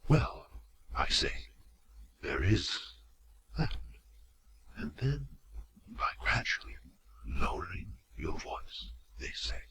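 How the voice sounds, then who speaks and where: A man playing an eloquent, cruelly courteous robot villain commander in an audio drama, speaking theatrically with deliberate pauses and hushed drops. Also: deep voice, very animated, heavily acted. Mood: quiet threat. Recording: amateur home recording, faint noise.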